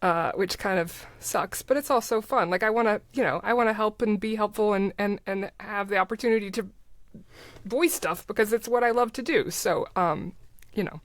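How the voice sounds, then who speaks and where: A woman with a mid-pitched voice in a very dry recording. She is a guest on a podcast, chatting informally and telling her stories with expressive enthusiasm. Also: moderate pace, no room sound. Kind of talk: casual conversational storytelling.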